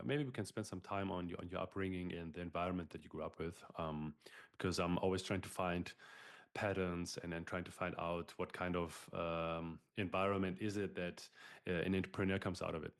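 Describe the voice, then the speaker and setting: Man, podcast host, low voice, halting, repeats words. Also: fairly even intonation. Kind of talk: casual interview.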